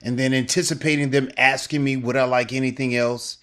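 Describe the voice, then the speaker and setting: A man's voice: mid-range voice, little pitch movement, brisk, a bit bright, clear, resonant tone. A middle-aged man giving a scripted video lesson as a language teacher, upbeat and emphatic in his coaching.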